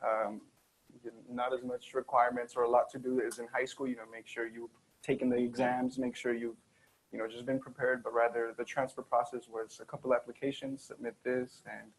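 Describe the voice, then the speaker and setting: A young man, a university senior, answering questions as a student panelist, soft-spoken and relaxed. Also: mid-range voice, brisk, slight background noise, rough recording. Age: young adult. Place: conference session room.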